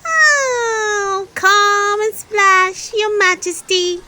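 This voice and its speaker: A woman's voice: extremely high pitch, very slow, bright, forceful tone, slight background noise, pitched above the performer's own voice, exaggerated voice. A woman voicing a cheerful, eager, childlike little girl pig in a children's storybook. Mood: excited calling.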